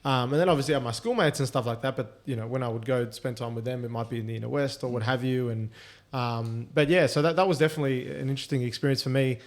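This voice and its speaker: A man's voice: mid-range voice, quick pace, hardly any pauses. A man with an Australian accent, a podcast co-host telling stories in a casual, rambling way with lots of fillers. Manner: rambling, filler-heavy storytelling. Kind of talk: casual chat.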